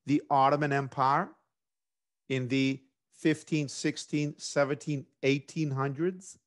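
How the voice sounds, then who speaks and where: A man's voice: fairly high pitch, level tone, takes it slowly. Man from Greece giving a lecture. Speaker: presenter, government instructor. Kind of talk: academic lecture over video call.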